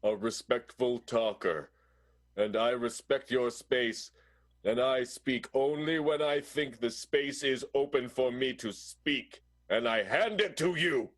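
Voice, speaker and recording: A man's voice: mid-range voice, slow. A man playing a grave, ruthless schemer in an improvised comic radio soap, speaking with melodramatic, portentous flair. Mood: stern. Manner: dramatic, portentous. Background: plucked guitar music.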